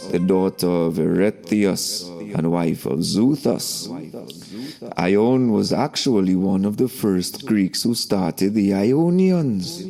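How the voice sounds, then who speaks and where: A man narrating a scripted spiritual lesson in a deliberate, declamatory, solemn way, like a preaching spoken-word teacher. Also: lowish voice, creaky, dark tone. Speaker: narrator and host of a spiritual story series. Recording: voice over background music. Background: music.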